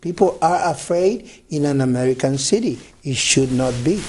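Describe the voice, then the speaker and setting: A man's voice: on the high side, animated, moderate pace, darkish tone, a little hiss or hum. A man who is a reverend and organizer, speaking earnestly in a studio interview and repeating words as he goes. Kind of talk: studio talk show interview.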